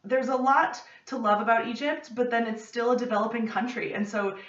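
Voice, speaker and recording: Excitable young woman, roomy distant sound. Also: a little high, brisk. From United States. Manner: excitable, gestures a lot, rambling asides. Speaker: podcast host. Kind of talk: casual chat to camera. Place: home study with bookshelves. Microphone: camera at desk distance. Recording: roomy, slightly distant, dull lo-fi.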